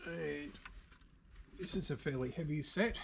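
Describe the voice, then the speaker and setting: An Australian man giving casual, unscripted hands-on repair commentary, halting now and then as he thinks aloud. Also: a little high, creaky, a bit bright, slight background noise. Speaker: video host, radio repairer. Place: indoor workshop.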